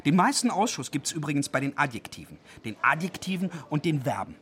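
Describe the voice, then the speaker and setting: An actor in a radio play as a zealous, pedantic dictionary compiler who speaks with gloating enthusiasm. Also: male voice, fairly high pitch, sing-song, fairly quick, fairly bright tone, husky, exaggerated voice. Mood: pedantic passion. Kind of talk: radio play dialogue acting. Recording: faint background noise.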